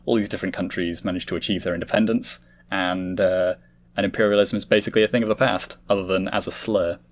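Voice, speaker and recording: A man's voice: deep voice, fairly even intonation, fast. A man hosting a casual podcast discussion, talking in a wordy, explanatory way. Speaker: host leading a discussion.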